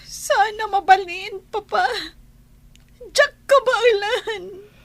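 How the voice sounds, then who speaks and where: A woman's voice: very high-pitched, very slow, full character voice. A woman with an Ilocano Filipino accent acting a lovestruck, pleading young bride-to-be in a radio drama. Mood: tearful, pleading.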